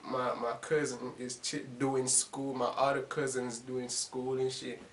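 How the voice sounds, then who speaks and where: A man's voice: medium pitch, little pitch movement, measured pace, a bit bright, slight background noise. A man of twenty-one chatting casually in a rambling, slangy, profane and self-deprecating monologue, laughing often. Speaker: YouTuber making reaction videos.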